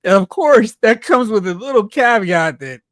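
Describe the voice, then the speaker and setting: A man's voice: high-pitched, expressive, measured pace, fairly bright tone, no echo, clean studio sound. A man hosting a live talk stream, chatting casually and giving fan commentary. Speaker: host of a live talk stream on movies and comics.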